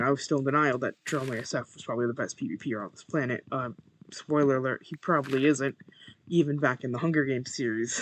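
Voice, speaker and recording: A young man with a monotone voice, chatting casually while playing a game and muttering to himself over his first recording. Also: on the high side, poor audio. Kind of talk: casual gameplay commentary.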